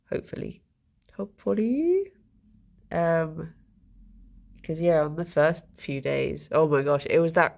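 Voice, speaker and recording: A woman's voice: low-pitched, gravelly. A woman with a blocked, congested nose, streaming from home, chatting casually and greeting her viewers by name. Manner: chatty, greets viewers by name, giggly, soft baby talk to pets. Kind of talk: casual morning stream chat.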